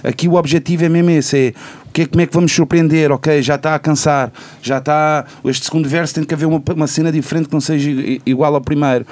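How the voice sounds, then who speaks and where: Higher-voiced man explaining haltingly. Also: steady tone, darkish tone. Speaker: musician, band member being interviewed. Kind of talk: casual explanatory interview talk.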